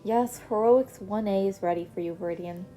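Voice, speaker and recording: A weary, gruff man teacher with dry, blunt lines, read by a woman. The voice stays mellow and at her usual pitch. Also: normal speed, faint music behind, lightly acted, rough recording. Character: tired, gruff man teacher fond of coffee.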